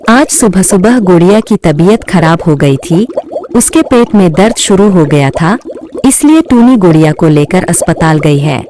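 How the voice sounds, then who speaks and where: A woman's voice: on the low side, lively intonation, normal speed, warm tone, slight background noise, natural voice. A woman playing a supportive, devoted young mermaid sister in a cartoon story. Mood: helpful.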